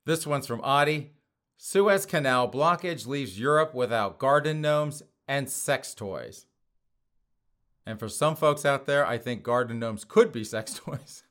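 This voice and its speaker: Hoarse male host, sarcastic, laughs through his lines, mid-pitched voice, casual mocking commentary. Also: a little slow, a bit bright. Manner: sarcastic, laughs often between phrases. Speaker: podcast host.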